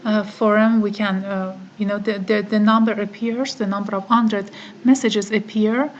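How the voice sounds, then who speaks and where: A woman whose first language is Farsi, giving a step-by-step online course tutorial, talking methodically and pausing on "uh" often. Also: mid-range voice, steady tone, lo-fi sound. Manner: slow, methodical, with frequent "uh" pauses. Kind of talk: screen-recorded software tutorial.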